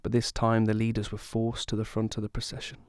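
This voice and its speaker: A man's voice: on the low side, monotone, a little fast, darkish tone, clear, resonant tone, lightly acted. A man playing a bereaved young survivor, giving dramatised first-person narration of a family tragedy. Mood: sombre.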